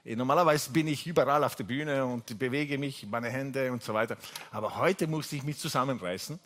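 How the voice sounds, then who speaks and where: A man's voice: mid-range voice, fairly quick, fairly bright tone. A man from Italy with an Italian accent, preaching a sermon while seated, chatty and full of fillers and restarts. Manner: chatty, many fillers and restarts, jokes with the congregation. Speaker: pastor. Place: church hall. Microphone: seated preacher on stage mic.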